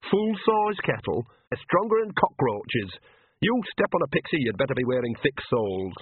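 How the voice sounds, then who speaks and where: A man's voice: medium pitch, big pitch swings, moderate pace, husky, dry recording, narrow, tinny audio, pitched above the performer's own voice. A man voicing a practical young woman witch with a dry, sceptical, questioning manner.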